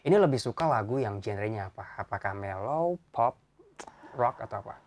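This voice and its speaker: A man's voice: lowish voice, animated, fairly quick, darkish tone. A man hosting a casual interview, informal, often murmuring agreement and echoing the answers he gets. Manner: frequent backchannels, echoes the guest's answers, informal. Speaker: interviewer, host.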